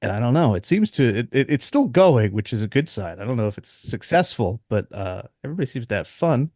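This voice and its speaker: A man in casual podcast talk, mid-pitched and chuckling as he goes. He rambles and restarts his sentences. The sound is dry and close, with no room around it. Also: sing-song, quick pace, a little mellow, dry recording. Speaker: dungeon master and podcast co-host.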